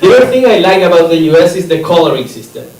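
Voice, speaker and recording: A man's voice: a little high, sing-song, racing, a little mellow. A man from Chile, performing a stand-up comedy set in a rambling, self-interrupting way. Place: comedy theater stage. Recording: echoey room from a distance, audience laughter.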